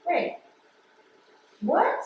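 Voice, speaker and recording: An actress playing a remorseful, self-doubting postal supervisor and weary mother, speaking in a halting, confessional way in a stage play. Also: muffled recording. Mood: remorseful, uneasy. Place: theatre stage. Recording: roomy stage, distant mic, faint noise.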